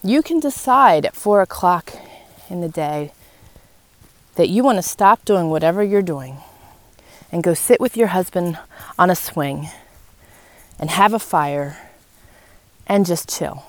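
A woman hosting a podcast, giving an earnest, reflective, encouraging motivational talk about life and faith in short phrases with pauses. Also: fairly low pitch, animated, no room sound. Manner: encouraging, addressing listeners directly. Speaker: podcast host. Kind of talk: personal, faith-based motivational talk to listeners. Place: outdoors in wind.

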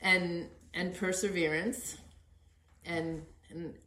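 A woman's voice: on the low side, fairly even intonation, takes it slowly, sharp tone. A middle-aged woman hosting a podcast interview in an earnest, encouraging, conversational way. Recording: echoey untreated room.

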